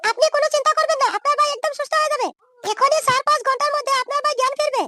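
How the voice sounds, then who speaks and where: A woman voicing a caring, fussy young hospital nurse in a comedy cartoon, insistent, with a squeaky pitched-up effect. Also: fairly even intonation, rapid-fire, pushed and bright, no echo, studio quality. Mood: reassuring, coaxing.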